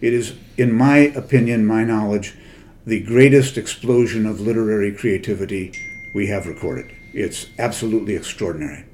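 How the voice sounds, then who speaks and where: A man's voice: on the low side, normal speed, darkish tone, a little hiss or hum. An older man giving a conversational lecture as a literary translator, thoughtful and digressive, with frequent hesitations and self-corrections. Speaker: literary translator and guest speaker.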